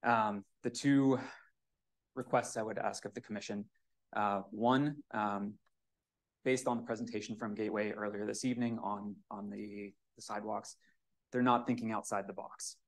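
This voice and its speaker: A man's voice: fairly low pitch, fairly even intonation, normal speed, low-quality recording. A man giving a hesitant, pleading public comment at a municipal commission meeting on a podium microphone. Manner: hesitant, many fillers, pleading.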